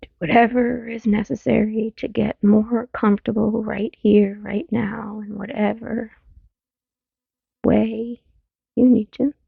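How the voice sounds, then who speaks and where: A woman mindfulness teacher leading a guided meditation in a gentle, soothing manner, leaving long pauses between phrases. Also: fairly high pitch, level tone, creaky, husky, no room sound. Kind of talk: guided meditation, body scan. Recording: narrow phone-like sound, very dry.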